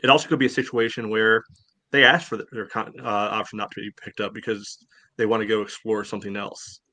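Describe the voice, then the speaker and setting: A man with a low, bright and tense voice co-hosts a sports podcast. He speaks very flatly and evenly, and the sound is dry and close. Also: a little fast, no echo. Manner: even-keeled, reasonable. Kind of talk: casual sports chat.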